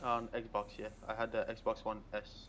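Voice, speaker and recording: A man's voice: on the low side, monotone, moderate pace, a bit bright, a little hiss or hum. A Moroccan-born man whose first language is French, speaking English with a French accent as a podcast guest over a phone, giving short, hesitant answers. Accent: French-speaking Quebec, Moroccan-born. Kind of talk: casual podcast chat. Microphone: mobile phone.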